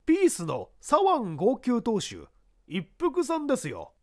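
A man playing helpful witness, very high voice, swooping intonation, polite, plain-spoken, mystery audiobook. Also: wildly swooping pitch, a little slow, full character voice. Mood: matter-of-fact. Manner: polite, explanatory. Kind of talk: dramatized mystery story reading.

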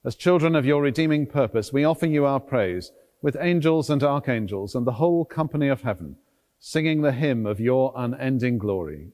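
The long reverb of a big stone church surrounds a middle-aged man of medium pitch. He is a priest leading solemn liturgy, declaiming each short phrase with deliberate care. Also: animated, a little mellow. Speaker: priest, celebrant at a church service. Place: large stone cathedral, at the altar.

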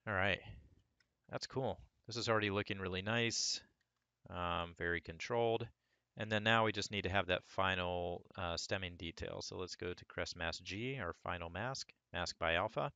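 A man with a hoarse voice from a cold, talking through a sculpting tutorial step by step in a relaxed way. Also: low-pitched, normal speed, no echo, dull audio. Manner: relaxed, talks through each step as he works. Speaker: 3D sculpting instructor. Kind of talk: screen-recorded software tutorial.

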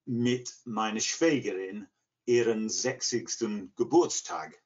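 An English man in his sixties with an English accent, answering interview questions haltingly as he searches for words in a second language. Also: on the low side, a little mellow, slightly rough. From England, near Liverpool. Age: 60s. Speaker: interview guest, language learner. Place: living room at home. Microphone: computer mic on video call. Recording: dull, lo-fi video call.

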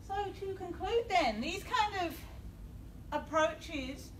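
A woman's voice: very high voice, lively intonation, takes it slowly. Australian woman presenting in an echoey room. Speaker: academic panel speaker. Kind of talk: conference presentation. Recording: distant, echoey, noisy room.

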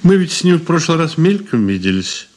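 A man's voice: fairly high pitch, big pitch swings, fairly quick, darkish tone. An actor in a Soviet radio drama playing a sardonic suspect who muses and taunts his questioner slyly. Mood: evasive. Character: male detained suspect, sardonic, philosophizing, taunting.

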